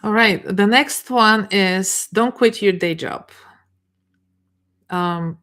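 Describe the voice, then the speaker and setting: A middle-aged woman with a Bulgarian accent, giving a live coaching talk in a halting, unscripted way, restarting and repeating words as she searches for them. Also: mid-range voice, a bit bright.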